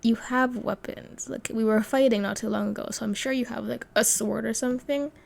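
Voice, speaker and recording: Creaky, high-voiced young woman gamer. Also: steady tone, fairly quick. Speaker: gaming streamer. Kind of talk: casual let's-play commentary.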